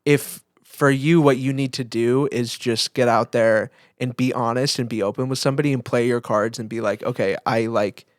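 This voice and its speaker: A man's voice: medium pitch, steady tone, normal speed, hardly any pauses, dry recording, studio quality. A man co-hosting a podcast, talking casually in a rambling, reflective way. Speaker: podcast co-host.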